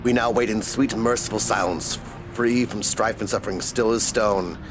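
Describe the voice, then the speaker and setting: A man's voice: on the low side, steady tone, background noise, natural voice. Man voicing an ancient world-weary dragon, solemn. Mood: weary, sorrowful. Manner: slow, solemn, archaic storyteller delivery. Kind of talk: dramatic reading of video game dialogue.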